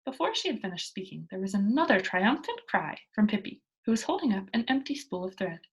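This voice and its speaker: Animated woman reading a children's story. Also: medium pitch, brisk, husky. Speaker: storybook reader. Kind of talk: expressive children's story narration.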